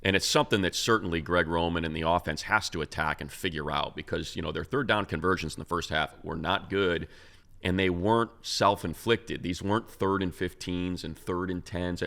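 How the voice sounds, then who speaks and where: Deep, gravelly American man talking football. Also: steady tone, brisk, a bit bright. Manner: emphatic, rambling, conversational. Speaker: sports show host and analyst. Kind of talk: unscripted football talk.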